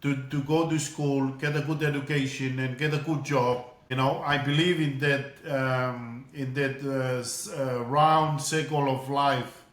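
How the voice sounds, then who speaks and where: A man's voice: medium pitch, steady tone, a little slow, few pauses. A middle-aged man from Tonga speaks as an interview guest. He talks earnestly, often doubling back on words as he goes. His voice sits in the middle of the range and rings in an echoey room. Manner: earnest, repeats words as he searches for them. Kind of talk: casual interview.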